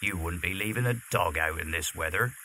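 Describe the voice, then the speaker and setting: A man's voice: low voice, brisk, bright, forceful tone, a little hiss or hum. A man acting a hospitable rustic weir keeper, folksy and wry, in an audio drama. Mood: wry, obliging.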